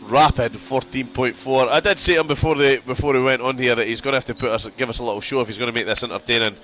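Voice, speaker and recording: A man's voice: medium pitch, steady tone, quick pace, bright, forceful tone, a little hiss or hum. A man doing casual sim racing commentary, congested from a cold, chatty and prone to repeating himself.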